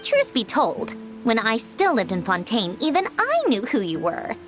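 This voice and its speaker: A woman playing a gracious, composed young woman in a video game, speaking in a courteous, measured and sincere way. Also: fairly high pitch, wildly swooping pitch, a bit bright, soft background music. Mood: sincere, admiring.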